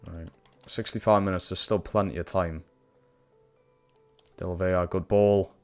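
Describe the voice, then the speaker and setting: Geordie lad streaming footy career mode, real low voice, swears a lot, bit of music in the back. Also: male voice, steady tone, normal speed, darkish tone. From Newcastle, England. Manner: sweary, frustrated reactions to play. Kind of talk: live gaming commentary.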